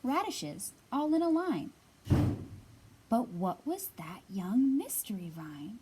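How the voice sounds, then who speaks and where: A woman librarian giving a storytime reading for young children, sing-song and expressive, pausing to ask the little listeners questions. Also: on the high side, slight background noise, low-quality recording.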